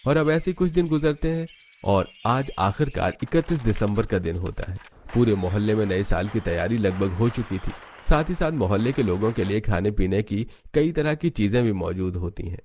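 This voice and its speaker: A man with a fairly low voice narrates a cartoon story from a script. There is faint noise and some clicking in the recording. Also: lively intonation, normal speed, darkish tone.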